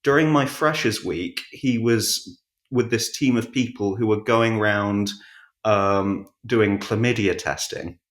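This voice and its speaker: Low-voiced man in an echoey room. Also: takes it slowly. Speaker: podcast guest, audiobook narrator. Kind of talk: casual conversational storytelling. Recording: roomy, echoey sound.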